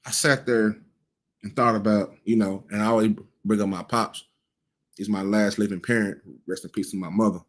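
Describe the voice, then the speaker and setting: A man's voice: fairly low pitch, fairly even intonation, normal speed. A man in his thirties giving casual cultural commentary, blunt and profane, rambling with frequent restarts. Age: 30s.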